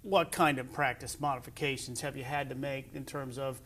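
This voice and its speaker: A man's voice: mid-range voice, flat delivery, normal speed, a bit bright. A man hosting a sports interview show, asking questions in a conversational way.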